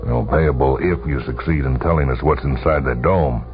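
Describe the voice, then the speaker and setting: A gruff middle-aged army general played by a male reader in a radio tale, with a very deep voice and blunt, impatient manner. The audio is thin like a phone line, with music underneath. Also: normal speed. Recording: tinny vintage radio broadcast, music behind.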